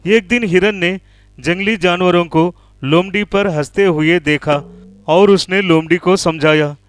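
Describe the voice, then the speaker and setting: A man's voice: high voice, steady tone, a little slow, clean tone, dry recording, soft background music. A man narrating a scripted children's animal story.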